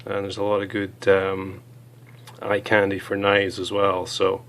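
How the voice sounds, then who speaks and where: A hoarse man with a cold, chatting casually as he reviews small gear items, with many fillers. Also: low-pitched, monotone, measured pace, a bit bright.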